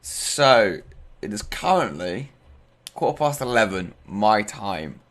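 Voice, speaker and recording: A man's voice: fairly low pitch, very animated, slow pace, a bit bright. A man from Europe hosting a casual, informal chat about tabletop games, often repeating his phrases. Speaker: talk show host and game master.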